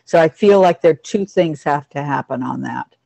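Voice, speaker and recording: A woman's voice: deep voice, moderate pace, creaky, dark tone. A woman teaching an informal online class about pets, talking in a reassuring, instructive way to the group. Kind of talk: casual group video-call class.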